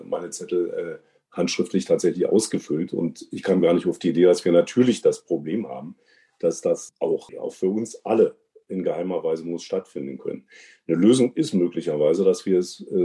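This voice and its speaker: Very dark, low-voiced man. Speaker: jury member as podcast guest. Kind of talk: considered interview talk.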